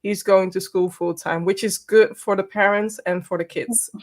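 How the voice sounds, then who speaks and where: A woman with a Dutch accent talks in English, her second language, in a relaxed group conversation. Her pitch sits mid-range and her delivery is direct and practical, offering short, plain tips. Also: normal speed. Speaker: guest. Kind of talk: casual panel chat.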